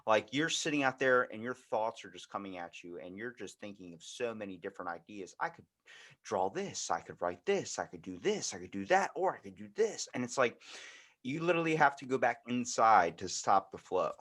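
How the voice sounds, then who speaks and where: American guy podcast host, lowish voice, rambly casual chat, gets animated. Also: fairly quick. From United States. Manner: rambling, animated storytelling with frequent "like". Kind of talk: casual conversational interview.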